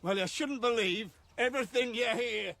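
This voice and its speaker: An actor playing a reclusive, guarded but gentle bird-loving man in a naturalistic radio drama, with a halting manner. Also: very high voice, gravelly, a little hiss or hum, full character voice. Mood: guarded, bitter.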